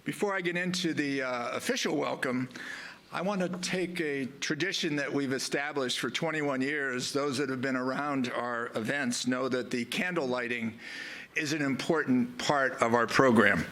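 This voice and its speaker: Man giving halting, reflective welcome remarks. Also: mid-range voice, pushed and bright. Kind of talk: informal welcome speech to an audience.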